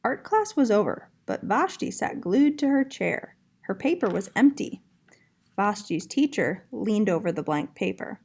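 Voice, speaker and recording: A woman's voice: mid-range voice, sing-song, unhurried, darkish tone, slightly rough. A woman, an elementary art teacher, speaking encouragingly to young children in a storybook read-aloud style.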